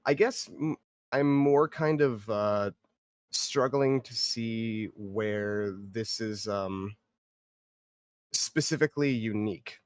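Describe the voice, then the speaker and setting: A man's voice: on the low side, slow, no echo, clean studio sound. A man giving casual feedback in a hesitant, hedging, rambling way. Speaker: participant giving script feedback. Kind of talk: casual spoken critique.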